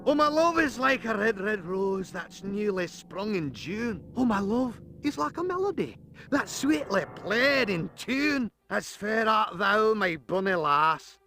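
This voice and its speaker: Scottish ghost laird, cartoon game. Excitable, mournful, very high and animated. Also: male voice, unhurried, exaggerated voice. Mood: romantic. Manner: theatrical, sentimental. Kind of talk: animated game cutscene acting.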